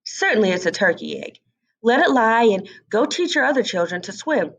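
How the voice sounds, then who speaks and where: Woman as an old female duck, storybook know-it-all, insistent, gossipy, expressive intonation, slightly lo-fi. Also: fairly high pitch, normal speed, vocal fry, exaggerated voice. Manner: insistent, gossipy, matter-of-fact.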